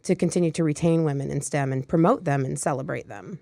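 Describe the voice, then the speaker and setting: A woman's voice: deep voice, fast talker, vocal fry, dark tone, no echo. A woman from Michigan, an interview guest, explaining her points at length with frequent ums and you-knows. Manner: explanatory, uses fillers like um and you know. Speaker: guest, nonprofit vice president. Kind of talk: interview answers. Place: studio.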